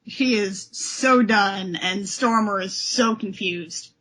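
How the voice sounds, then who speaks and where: A woman's voice: mid-range voice, slow pace, sharp tone, low-quality recording. A woman hosting a casual discussion show, talking in an enthusiastic, effusive way. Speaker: podcast host.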